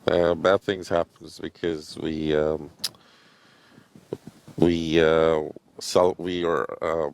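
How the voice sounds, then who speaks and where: A man calling into a live-stream debate, answering haltingly with many pauses and fillers. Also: very deep voice, level tone, vocal fry, smooth. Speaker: caller.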